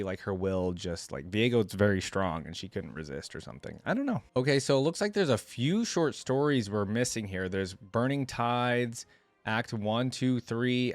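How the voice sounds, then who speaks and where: Male reaction-channel host, medium pitch, conversational, thinking out loud, very dry recording. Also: no room sound. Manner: conversational, musing aloud. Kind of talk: casual reaction commentary, reading aloud and remarking.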